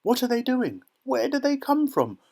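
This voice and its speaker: An astonished onlooker from a children's storybook. The voice is very high and excited. It clamours with amazement. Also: male voice, normal speed, creaky, exaggerated voice. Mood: amazed.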